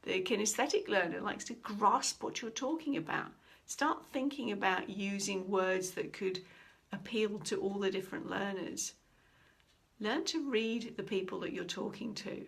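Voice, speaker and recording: Middle-aged female trainer, animated and expressive, average pitch, teaches while acting out quoted lines. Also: unhurried, gravelly. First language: English. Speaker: communication trainer. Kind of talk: instructional talk.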